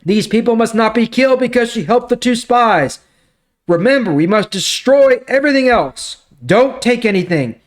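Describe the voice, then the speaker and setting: Man acting out high, urgent commanding leader. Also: lively intonation, moderate pace. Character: commanding male Israelite leader rallying his people.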